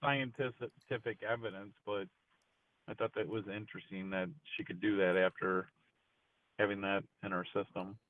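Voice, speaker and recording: Low-voiced man on a tinny phone line. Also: normal speed. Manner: hesitant, full of restarts, animated when surprised. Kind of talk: casual chat.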